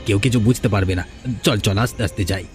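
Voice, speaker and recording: Low-voiced grumbling village man played by an actor. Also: fast talker, faint music behind, close to an everyday voice. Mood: scheming, wary. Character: male village thief and weary elder brother, grumbling. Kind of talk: Bengali cartoon fairy-tale dubbing.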